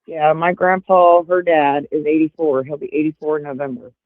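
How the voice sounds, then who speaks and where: A woman's voice: sits low, steady tone, normal speed, no echo. A woman in her forties, a livestream guest chatting casually over earbuds, rambling with lots of fillers. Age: 40s. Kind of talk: casual chat. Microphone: earbuds over a call. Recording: tinny phone-like call line.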